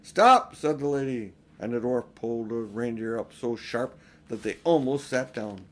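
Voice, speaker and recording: An older man reads a children's storybook aloud. He has a mid-pitched voice and narrates as an audiobook reader would. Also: moderate pace, dark tone, a little hiss or hum.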